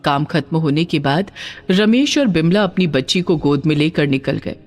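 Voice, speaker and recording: A woman reading a scripted story as narrator, with a dramatic, expressive delivery. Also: low voice, moderate pace.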